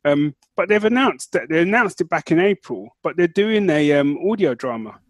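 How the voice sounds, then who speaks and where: A man's voice: fairly high pitch, expressive, moderate pace, dry recording. A man co-hosting a podcast in casual, geeky banter. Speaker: podcast co-host.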